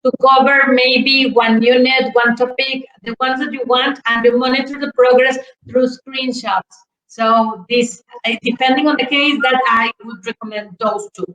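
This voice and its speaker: A woman's voice: sits high, steady tone, normal speed, a bit bright, reverberant, low-quality recording. A woman from Mexico who is not an English speaker, an English teacher explaining things at an online conference with fillers and repeated words, heard over a video call.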